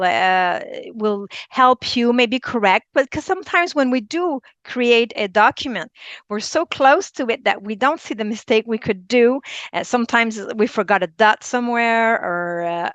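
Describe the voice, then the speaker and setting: A woman in her fifties with a Quebec French accent, a teacher presenting a webinar and often pausing to search for her words. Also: fairly high pitch, fairly bright tone, no room sound. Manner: hesitant, searching for words, many ums.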